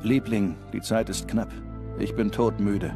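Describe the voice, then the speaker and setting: An actor playing an exhausted German soldier, reading an intimate, weary letter home in a documentary. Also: male voice, fairly low pitch, fairly even intonation, unhurried, over music. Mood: weary, resigned.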